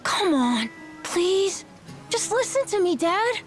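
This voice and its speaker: Ten-year-old boy, very high voice, animated intonation, earnest and frustrated, game dialogue. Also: takes it slowly, faint music behind, full character voice. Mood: pleading, upset. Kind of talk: video game voice acting.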